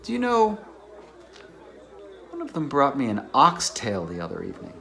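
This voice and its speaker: Man acting a shy donnish bachelor in a book drama, mild but very varied pitch. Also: wildly swooping pitch, moderate pace, pushed and bright, heavily acted. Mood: mild, earnest. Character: reserved, naive, bookish bachelor anthropologist. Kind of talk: dramatized reading of a novel.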